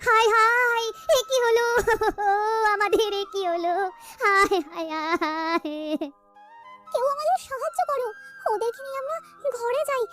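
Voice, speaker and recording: A woman's voice: very high-pitched, fairly bright tone, pitched above the performer's own voice. A woman with a West Bengal accent voicing an anxious, devout cartoon village wife who speaks emphatically and repeats herself. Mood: wailing, pleading. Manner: plaintive, emphatic, repeats phrases.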